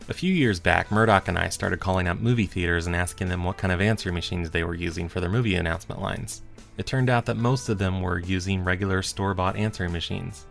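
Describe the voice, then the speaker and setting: A man's voice: sits low, creaky. A man hosting a podcast, reading scripted narration. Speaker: podcast host.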